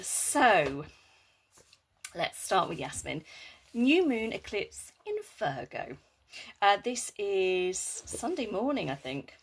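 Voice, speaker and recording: A woman with a hoarse, nearly lost voice from a sore throat, chatting casually in a meandering vlog and reading moon guidebooks aloud. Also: fairly high pitch, a little hiss or hum. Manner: chatty, meandering, with frequent fillers and asides. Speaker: Reiki practitioner and moon vlogger.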